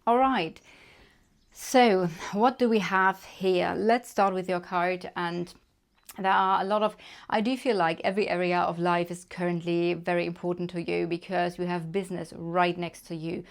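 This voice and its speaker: A woman's voice: fairly low pitch, flat delivery, normal speed, creaky. A woman with a German accent, reading tarot cards to camera in a chatty way, thinking aloud with many fillers. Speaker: tarot reader. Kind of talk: casual card reading to camera.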